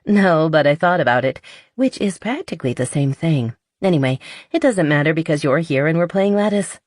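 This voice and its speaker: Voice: medium pitch, expressive, brisk, no room sound, studio quality, close to an everyday voice. Narrator voicing a playful, confident young woman. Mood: upbeat, welcoming. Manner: teasing, upbeat.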